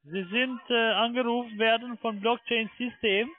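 Male call-centre caller, high voice, foreign accent, non-native German, halting delivery, tinny phone sound. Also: fairly even intonation. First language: not German. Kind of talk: phone sales pitch. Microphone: telephone. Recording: tinny narrow phone line.

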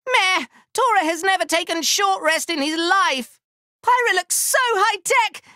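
A man voicing a small, excitable, proud furry inventor creature for a video game, squeaky and cartoonish, talking in childlike broken grammar and in the third person. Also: a little slow, dry recording, studio quality, full character voice. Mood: earnest. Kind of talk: video game character voice lines.

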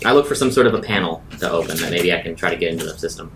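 A man with a fairly low voice plays a tabletop roleplaying game. He improvises his lines and talks casually over a faint low rumble. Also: animated, very fast, a bit bright.

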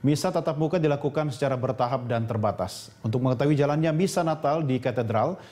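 A man's voice: mid-range voice, fast talker, darkish tone. A middle-aged man anchoring the news in a formal, scripted style in a TV studio.

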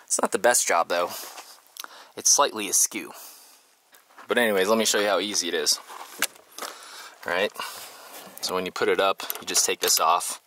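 A man's voice: low-pitched, fairly even intonation, normal speed, long pauses, pushed and bright, slight background noise. A man talking casually and informally to a handheld camera as he works on a project, rambling as he explains. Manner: informal, rambling, talks while working. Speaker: vlogger, host. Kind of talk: casual DIY vlog narration to camera. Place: inside a converted school bus and outdoors beside it. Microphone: handheld camera at arm's length.

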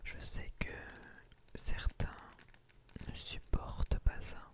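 A woman whispering breathily for an ASMR video in short, hushed phrases, close to the microphone, addressing her viewers. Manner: slow, hushed delivery with short broken phrases. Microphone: close microphone on a boom arm.

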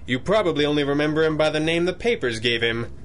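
An actor playing a grouchy, commanding masked crime-fighter with dry, formal speech in a 1930s-style radio drama. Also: male voice, on the high side, fast, a bit bright, a little hiss or hum, lightly acted. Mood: grave, urgent.

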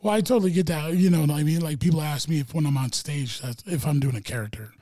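A man's voice: fairly high pitch, quick pace, mellow, no echo, studio quality. A man on a podcast as a guest comic, chatting loosely and swearing a lot. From Wyoming. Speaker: stand-up comedian, podcast guest. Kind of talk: casual chat.

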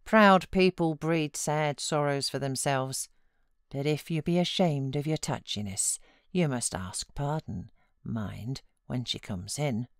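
British housekeeper voiced by a woman reader, motherly, chiding, coaxing, unhurried pace, dry storybook sound. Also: very deep voice, no room sound, exaggerated voice. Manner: brisk advice, coaxing and chiding.

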